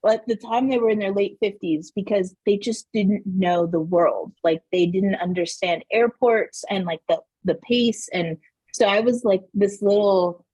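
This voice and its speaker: Animated, husky-voiced woman storyteller. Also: mid-range voice, moderate pace, dry recording, poor audio. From Southern New Mexico. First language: Spanish. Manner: animated, laughing, full of "like". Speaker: podcast guest. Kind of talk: casual interview storytelling.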